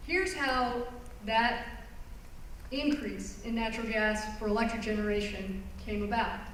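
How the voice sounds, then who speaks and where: A woman giving a public presentation, explaining her subject earnestly and pausing on phrases for emphasis. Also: a little high, steady tone, slight background noise. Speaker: environmental lawyer giving a talk. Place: conference hall. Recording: roomy hall recorded from far off.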